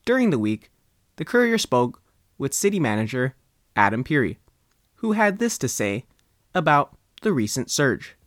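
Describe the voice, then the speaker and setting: A man with an American accent reading a scripted news report as a reporter, in deliberate phrases with frequent pauses. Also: medium pitch, big pitch swings, fairly bright tone, dry recording, studio quality. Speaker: newspaper reporter narrating.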